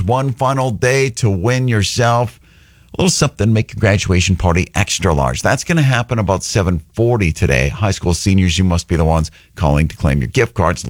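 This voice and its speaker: Upbeat radio dj guy, kinda low voice, bouncing all over, studio chatter. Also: no room sound. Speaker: morning radio host. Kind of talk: morning radio chatter and live reads.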